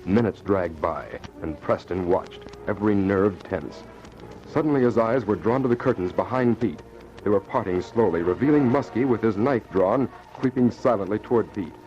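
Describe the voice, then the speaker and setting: A man's voice: on the low side, normal speed, a little mellow, faint music behind. A man narrating and announcing an old-time radio adventure in a dramatic, scripted style. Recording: muffled, noisy old radio transcription.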